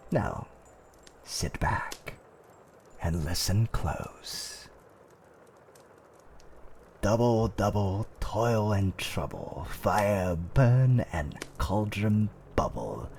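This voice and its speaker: A man playing an older fantasy tavern owner, telling a dark fireside tale in a theatrical, suspenseful roleplay ASMR style. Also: lowish voice, slight background noise, exaggerated voice. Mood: welcoming, then ominous incantation. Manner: theatrical, archaic wording, drawn-out suspenseful delivery.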